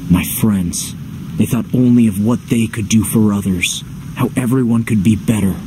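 Man playing a low-voiced brooding warrior hero. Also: normal speed, background noise, lightly acted. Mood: indignant. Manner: weary, earnest. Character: brooding, self-doubting young male warrior hero. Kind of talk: video game cutscene acting.

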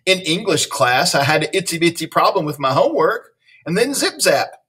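A man's voice: a little high, animated, normal speed, pushed and bright, husky, distant mic, heavily acted. A man voicing an earnest, chatty little schoolgirl in a storybook, rambling in rhyming baby-talk words.

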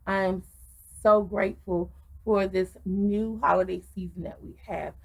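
A woman's voice: mid-range voice, fairly even intonation, a little slow, creaky, low-quality recording. A middle-aged woman reviewing wine in a casual vlog, gushing and full of ums and restarts, recorded on a webcam in a small wooden room. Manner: effusive, many ums and restarts.

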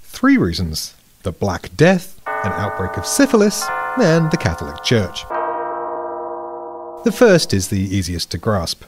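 A British man giving a scripted podcast explanation, measured and dryly witty. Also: lowish voice, wildly swooping pitch, over music.

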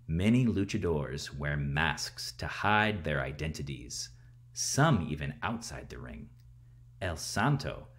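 A man in his thirties reading a picture book aloud and explaining things to children in a theatrical, expressive way. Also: sits low. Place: small room at home, indoors.

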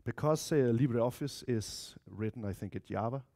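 A man in his thirties with a Danish accent presents an informal technical talk. His voice is dark and relaxed, at an ordinary male pitch. He speaks chattily, often turning to the audience with asides. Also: normal speed. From Denmark or Spain, of mixed Spanish-Danish family. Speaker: conference speaker, software developer. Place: lecture room, indoors.

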